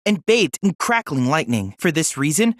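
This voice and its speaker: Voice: medium pitch, big pitch swings, normal speed, a bit bright, no echo. Brainy teen boy robot helper from a video game, super polite n proper, eager, voice moves up and down a lot, very dry sound. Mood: scholarly, storytelling. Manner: formal, precise, courteous. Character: polite, eager, scholarly teenage boy android research assistant. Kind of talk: scripted video game character dialogue.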